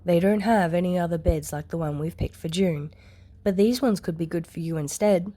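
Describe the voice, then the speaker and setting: A woman voicing a calm, deadpan and gently caring man in a story reading. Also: fairly low pitch, moderate pace, lightly acted. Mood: gentle.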